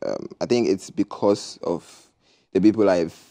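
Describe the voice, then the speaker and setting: Young male guest, low and warm, easygoing interview chat on a lapel mic. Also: normal speed. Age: young adult. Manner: relaxed, laid-back, frequent "you understand?". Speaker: musician guest. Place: TV studio set, seated on sofa.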